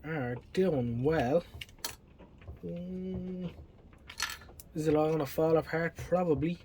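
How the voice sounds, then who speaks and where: An Irish man with a fairly high voice talks through a hands-on repair job. He is casual and self-deprecating, often muttering wryly to himself. Clear background noise runs through the homemade recording. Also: takes it slowly. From Ireland. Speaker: electronics repair hobbyist presenting. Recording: clearly noisy home video recording.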